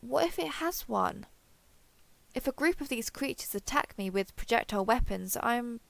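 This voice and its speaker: A woman voicing an excitable, wary alien explorer, thinking aloud in a wry, hesitant internal monologue for an audio roleplay. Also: on the high side, creaky, dry recording. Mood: uneasy, curious. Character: excitable, wary female alien explorer with blue-grey skin. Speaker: voice actor.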